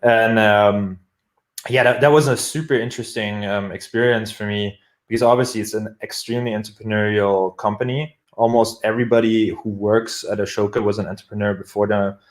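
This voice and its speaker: Guest: young man with a German accent, low voice, rambling and filler-heavy, echoey room. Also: steady tone, brisk. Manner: rambling, many fillers and restarts. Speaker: guest product manager. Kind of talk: casual interview chat.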